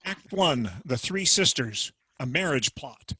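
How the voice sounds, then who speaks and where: A man introducing and narrating a dramatic reading over a video call, in a measured, explanatory way. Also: mid-range voice, lively intonation, sharp tone, slightly rough, dry recording.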